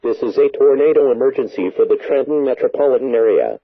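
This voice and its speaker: Muffled, husky male emergency alert voice. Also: mid-range voice, animated, fast. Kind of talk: scripted weather warning broadcast. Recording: muffled, echoey lo-fi radio broadcast.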